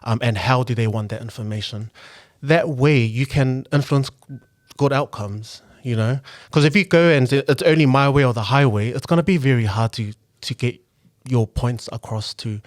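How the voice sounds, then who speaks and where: A young man from New Zealand, a guest on a podcast, talking earnestly and reflectively with frequent pauses and restarts. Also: mid-range voice, no echo, clean studio sound. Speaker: guest, local politician and engineer. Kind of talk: casual podcast conversation.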